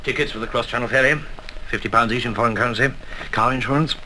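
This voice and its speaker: An actor playing a courteous, commanding colonel in a 1960s radio drama, speaking in brisk, formal briefings. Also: male voice, medium pitch, steady tone, bright, forceful tone. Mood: brisk, businesslike. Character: genteel, commanding older intelligence colonel, male, dryly courteous. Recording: faintly noisy 1960s flexidisc radio recording.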